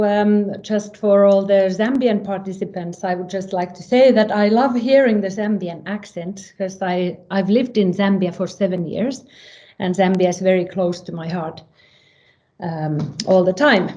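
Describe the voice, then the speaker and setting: A woman with a soft-edged, mellow voice presents informally and in a friendly way. Her pitch is average, and the video call sound is dull and lo-fi. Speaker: presenter, regional representative. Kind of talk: informal unscripted online presentation.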